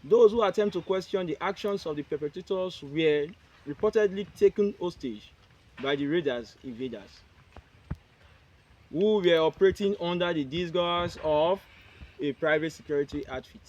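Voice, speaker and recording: A man reading a prepared statement aloud at a press conference, in short, halting phrases and with the odd restart. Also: on the high side, a little mellow, muffled recording.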